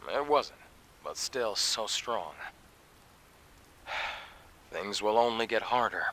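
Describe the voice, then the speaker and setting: An actor playing a calm, upbeat young male scout companion in a video game, with a reassuring, conversational manner. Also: on the low side, slow pace, a little hiss or hum. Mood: impressed, relieved. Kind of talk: video game cutscene voice acting. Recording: background music under the voice.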